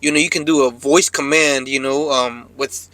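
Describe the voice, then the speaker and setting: A man's voice: mid-range voice, steady tone, normal speed, sharp tone, dry recording, rough recording. A man talking casually to viewers as a truck driver vlogger, in a laid-back, rambling, slangy way. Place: cab of a parked truck.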